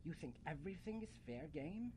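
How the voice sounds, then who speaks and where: A man acting a grumpy, defensive broken toy, gruff and dismissive, in a student radio play. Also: fairly high pitch, animated, brisk, close to an everyday voice. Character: grumpy, defensive broken male toy, a loner hiding away. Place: college radio studio, live broadcast. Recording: clear background noise in radio studio.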